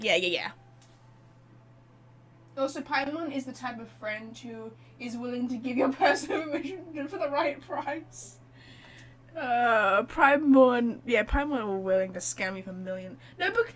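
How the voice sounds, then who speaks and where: A woman's voice: on the high side, a bit bright, a little hiss or hum, rough recording. A woman streaming a video game with casual, chatty, self-deprecating live commentary.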